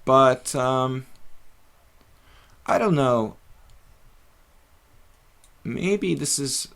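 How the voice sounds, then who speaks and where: A man's voice: medium pitch, expressive, extremely slow, gravelly, fairly bright tone, clean tone. A middle-aged man casually commentating his own chess games on a live stream, thinking aloud with many fillers.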